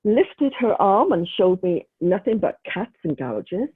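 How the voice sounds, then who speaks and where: Guest: middle-aged woman, husky, earnest and emphatic, heard over a tinny phone-like line. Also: mid-range voice, sing-song, a little slow. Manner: earnest, emphatic, repeats words for stress. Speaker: guest, retired nurse and mental health advocate. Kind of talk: casual interview talk. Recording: tinny phone-like remote call audio.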